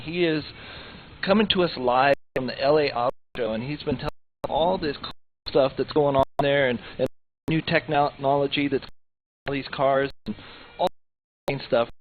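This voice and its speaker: A man's voice: medium pitch, moderate pace, long pauses. A man co-hosting a live radio show with casual, enthusiastic, informal talk. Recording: narrow phone-like sound, noisy background.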